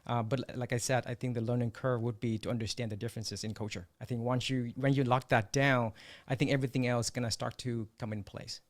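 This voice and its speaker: A man's voice: mid-range voice, little pitch movement, fast talker. A man whose first language is Vietnamese, speaking as a guest on an online panel and explaining his points thoughtfully. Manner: thoughtful, many fillers and restarts. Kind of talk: casual conversation over video call. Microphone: video call.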